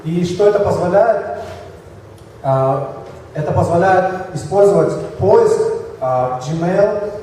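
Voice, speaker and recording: A man with a Kyrgyz accent, slightly hoarse from a cold, giving a halting conference talk with slides. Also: high-pitched, expressive, warm tone, a little hiss or hum. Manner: halting, many fillers and restarts. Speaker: conference speaker. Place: large echoey hall. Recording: echoey hall from far off.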